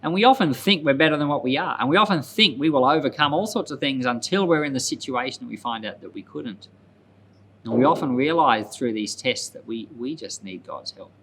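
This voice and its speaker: Man, medium pitch, pastor's informal Bible commentary, explanatory, repeats phrases for emphasis, slightly noisy recording. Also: a bit bright. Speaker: pastor and Bible podcast host.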